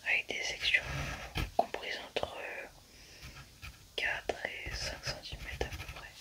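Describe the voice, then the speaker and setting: A young woman whispers throughout in an ASMR roleplay. She plays a scientist at work, commenting step by step. Her delivery is hushed and a little hesitant. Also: slow. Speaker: ASMR creator playing a scientist.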